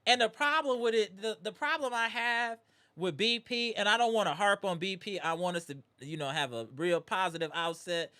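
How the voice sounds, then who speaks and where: Bright-voiced man hosting a sports stream. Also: sits high, moderate pace, clear, resonant tone, dry recording. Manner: animated, shouting out chat viewers. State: voice strained from yelling. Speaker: livestream host. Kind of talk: live postgame sports reaction.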